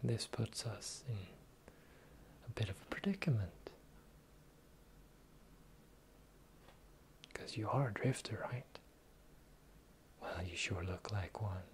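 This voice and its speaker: A sly pirate captain, acted out for a fantasy ASMR roleplay, speaks in soft, breathy whispers. The delivery is very unhurried and theatrical, with a teasing drawl that keeps the listener close. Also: mid-range voice, lightly acted. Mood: mysterious, musing.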